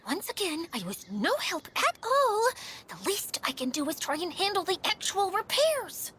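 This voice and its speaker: A woman's voice: very high-pitched, big pitch swings, normal speed, fairly bright tone, a little hiss or hum, full character voice. A woman voicing an earnest, self-doubting young female repairer in an anime-style game, hesitant in manner. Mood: discouraged.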